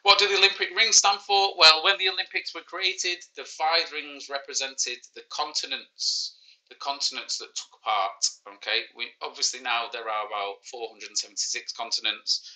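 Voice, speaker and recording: A man in his forties with a clear Manchester accent hosts a live maths quiz for children. His voice is bright and forceful, and he talks in easy, joking banter, often teasing himself. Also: mid-range voice, steady tone, normal speed, poor audio. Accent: Mancunian. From Manchester, England. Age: 40s. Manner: joking, self-mocking banter. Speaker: teacher hosting a live quiz. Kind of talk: casual livestreamed lesson.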